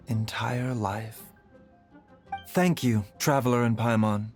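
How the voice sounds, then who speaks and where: An actor playing a gentle, composed male physician in a video game, speaking in measured, polite, formal phrases. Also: medium pitch. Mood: weary, grateful. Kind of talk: video game character dialogue.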